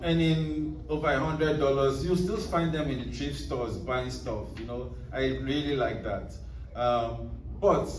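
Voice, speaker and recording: A man's voice: medium pitch, darkish tone. A man, an author, talking casually to an audience, rambling with many fillers and restarts. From Nigeria. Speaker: author at a book presentation. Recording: roomy hall, distant mic, faint noise.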